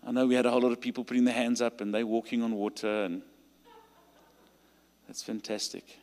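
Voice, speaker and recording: A man with a South African accent preaching a sermon and leading a call to stand, his delivery rising to emphatic peaks and dropping to quiet pleading prayer. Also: mid-range voice, level tone, fairly quick. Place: large church hall. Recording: long hall reverb.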